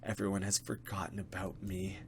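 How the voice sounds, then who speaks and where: A man voicing a trapped ghostly younger sister in a visual novel, weak, pleading and whispery, with slow halting delivery. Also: low-pitched, level tone, background noise, voice pushed down. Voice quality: whispery, breathy.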